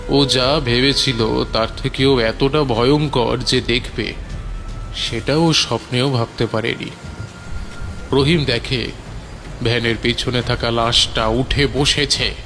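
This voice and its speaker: A man narrating a scripted horror story in a dramatic storytelling style. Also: mid-range voice. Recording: prominent music under the voice.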